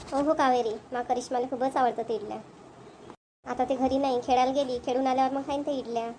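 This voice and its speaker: Woman acting a high-voiced chatty village housewife. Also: a little mellow, slight background noise, close to an everyday voice. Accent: Varhadi Marathi of Vidarbha. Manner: chatty, homely, repetitive phrasing. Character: chatty village housewives and mothers, homely, mildly exasperated. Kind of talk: amateur cartoon comedy dialogue.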